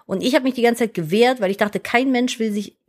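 Chatty woman, deep voice with a lisp. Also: brisk. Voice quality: self-described deep voice with a lisp. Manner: chatty, candid, frequent fillers like "ne?". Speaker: podcast co-host. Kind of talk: casual podcast chat.

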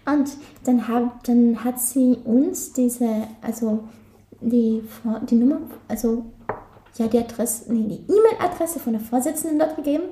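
High-voiced young Austrian woman, roomy sound. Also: a little slow, warm tone. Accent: Austrian German, light Carinthian. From Carinthia, Austria. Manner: chatty, laughing, many restarts. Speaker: interview guest. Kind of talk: casual interview chat.

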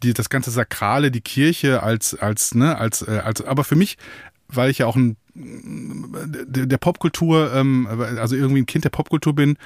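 A man's voice: medium pitch, normal speed, dry recording. Hesitant musing German man, dry sound. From Germany, Münsterland. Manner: hesitant, many restarts and fillers, musing. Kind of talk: casual conversation.

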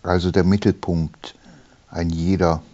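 Very low, slow-speaking man reading poetry. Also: little pitch movement, mellow. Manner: measured, pausing between short phrases. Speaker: poet reading own work. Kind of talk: poetry reading of own long poem. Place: small room, writing study. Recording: dull, muffled sound.

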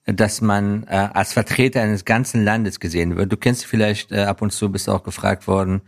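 This is a man from Afghanistan with a low voice, answering as a guest in an interview. He talks in an earnest, reflective way. His sentences halt and restart as he searches for the next phrase. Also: fairly even intonation, moderate pace. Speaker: interview guest, business professional.

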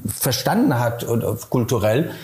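A middle-aged man from Silesia with a Polish accent, answering interview questions thoughtfully and pausing often to find his words. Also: mid-range voice, sing-song, a little mellow. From Poland, Silesia. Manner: thoughtful, searching for words, many hesitations.

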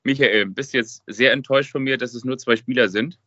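A man chats casually as co-host of a football podcast. His mid-range voice is bright and crisp-edged. The recording is very dry and a little dull. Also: medium pitch, brisk, no room sound. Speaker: podcast co-host and quiz contestant.

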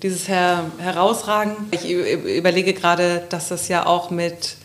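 A woman's voice: on the low side, little pitch movement, moderate pace, slight background noise. A woman in a reflective intellectual discussion, thinking aloud and often correcting herself as she searches for the right wording.

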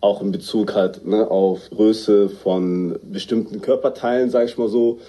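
A man informally recounts a personal experience as a guest. His voice is slightly low and extremely soft-edged and dark, with no sharpness to it. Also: fairly even intonation, unhurried.

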